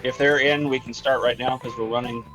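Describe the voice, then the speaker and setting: A man hosting a live-streamed matching game, joking and quick to laugh. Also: mid-range voice, steady tone, over music, crowd noise, rough recording. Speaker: game show host.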